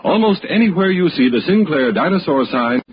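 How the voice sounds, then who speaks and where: An American man announcing and pitching in 1960s radio commercial style. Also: lively intonation, a little fast. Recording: muffled, hissy old radio broadcast.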